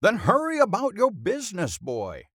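Male narrator as headmaster, pompous, archaic phrasing, higher pitch, storybook. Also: wildly swooping pitch, normal speed, fairly bright tone, breathy edge, no room sound, clean studio sound, heavily acted. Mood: officious. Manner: wordy, archaic formality.

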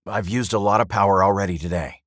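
A man's voice: fairly low pitch, fast, fairly bright tone, no room sound, studio quality. A man voicing an earnest, tired male wizard in a fantasy audiobook, plain and a little hesitant. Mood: weary.

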